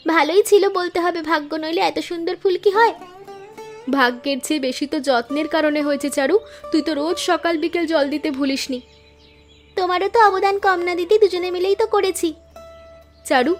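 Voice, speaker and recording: Woman acting young cartoon flower seller, very high pitch, earnest, hopeful, polite, faint background music. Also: close to an everyday voice. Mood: cheerful. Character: two young flower-selling village sisters, earnest and hopeful. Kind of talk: Bengali moral-story cartoon dialogue.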